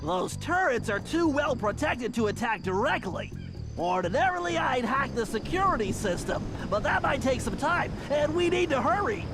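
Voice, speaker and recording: A man's voice: extremely high pitch, very animated, moderate pace, over music, full character voice. A man voicing a brainy, cautious young turtle gadget whiz in a video game, nasal, precise and a little fussy. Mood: earnest planning. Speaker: mission planner. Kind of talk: animated video game voice acting.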